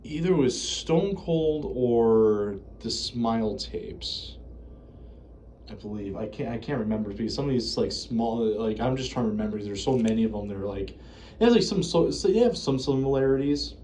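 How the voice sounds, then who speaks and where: A man's voice: mid-range voice, lively intonation, normal speed, mellow, slight background noise. An American man giving casual, unscripted commentary in a rambling, hesitant, self-correcting way. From United States. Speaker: video creator reviewing horror series.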